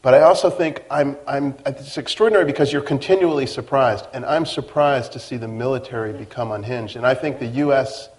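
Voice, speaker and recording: A man in his fifties, a veteran journalist on a panel, speaking deliberately and building his points slowly. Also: medium pitch, a little mellow. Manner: deliberate, slow to build, with restarts. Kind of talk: measured panel talk. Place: lecture hall on stage.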